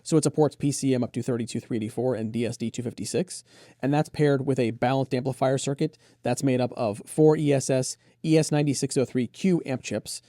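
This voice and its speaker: A man's voice: medium pitch, dark tone, no room sound, studio quality. A man giving an enthusiastic, conversational product review, explaining features in detail.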